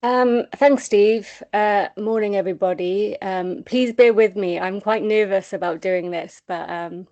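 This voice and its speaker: A woman's voice: mid-range voice, unhurried, few pauses, warm tone, dull audio. A woman with a Welsh accent, speaking on a video call, tells her own recovery story hesitantly, with many ums, and says she is nervous. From Wales. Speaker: charity comms and admin officer.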